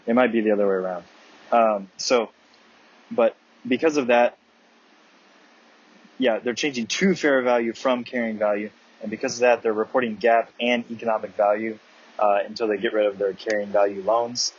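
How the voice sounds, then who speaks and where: A man giving a casual, halting investment commentary from notes, often correcting himself as he goes. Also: mid-range voice. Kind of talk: casual earnings call analysis from notes. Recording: muffled audio with clear background noise.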